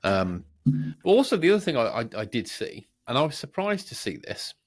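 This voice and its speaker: A man's voice: a little high, very animated, a little fast. A British man co-hosting a podcast in casual, unscripted tech chat, rambling, jokey and easily sidetracked. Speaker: podcast co-host.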